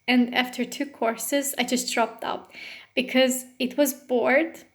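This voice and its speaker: A woman from Romania talks casually about her own life in non-native English. She speaks in a fairly high, even voice with little rise and fall. She rambles, fills pauses with ums and often breaks off and restarts a word. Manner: rambling, with frequent fillers and self-corrections. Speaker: astrologer. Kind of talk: casual personal monologue.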